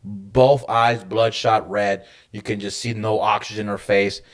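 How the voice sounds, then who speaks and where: A man who works in a hospital, co-hosting a casual podcast and telling a story in animated, profane, slangy language. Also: lowish voice, unhurried. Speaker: podcast co-host; hospital medical worker.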